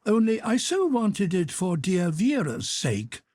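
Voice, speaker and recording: A man's voice: high-pitched, wildly swooping pitch, takes it slowly, darkish tone, no room sound, pitched above the performer's own voice, heavily acted. A man reading an audiobook, voicing a fawning young officer with a wheedling, polite manner. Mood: ingratiating. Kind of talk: audiobook character voice.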